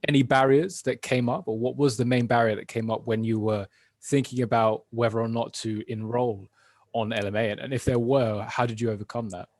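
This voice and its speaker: A man interviewing a guest over a video call, asking his questions gently and encouragingly, with hesitant phrasing. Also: mid-range voice, fairly even intonation, fairly quick, no echo, studio quality. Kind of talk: supportive interview.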